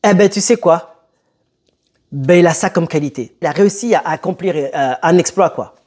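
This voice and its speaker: A young man who grew up in France telling a personal story to camera in a conversational, emphatic way with dramatic pauses. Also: fairly high pitch, darkish tone. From born in Italy, moved to France as a child. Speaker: motivational video host.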